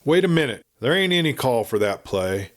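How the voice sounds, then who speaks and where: A man voicing a big young frontier waitress in a western story, blunt and folksy, wary but soft-hearted. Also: mid-range voice, very animated, moderate pace, natural voice. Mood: relenting.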